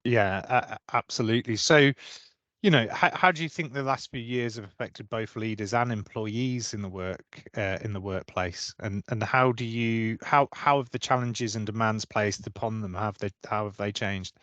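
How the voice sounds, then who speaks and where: A man's voice: fairly low pitch, moderate pace, a bit bright, no echo. A man hosting an interview, asking questions in a friendly, conversational way with fillers and repeated words. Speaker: interviewer, recruitment practice lead.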